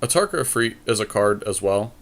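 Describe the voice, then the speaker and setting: A man's voice: fairly low pitch, expressive, a little fast. A man chatting casually as a podcast co-host, weighing card game choices as he thinks aloud. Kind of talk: casual chat while playing a card game draft.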